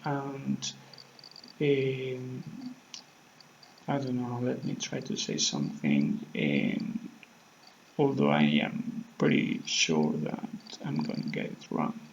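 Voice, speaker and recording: A man with a Spanish accent speaks very slowly, hesitating and filling pauses while he searches for words. His voice has a gravelly edge. The recording sounds dull and lo-fi, with faint noise behind him. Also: medium pitch. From Mexico. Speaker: channel host. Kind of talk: unscripted vlog talk.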